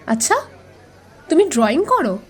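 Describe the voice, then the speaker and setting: A woman's voice: high voice, very animated, moderate pace. A woman playing a confident, haughty young college student in an audio story, cool and aloof, dropping in English phrases. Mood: teasing, aloof.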